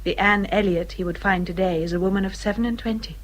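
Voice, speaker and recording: An actress playing a young English gentlewoman in a radio period drama, speaking with earnest, well-spoken restraint. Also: mid-range voice, fairly even intonation, fast, natural voice, poor audio. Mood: resigned. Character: gentle, self-controlled English gentlewoman of twenty-seven.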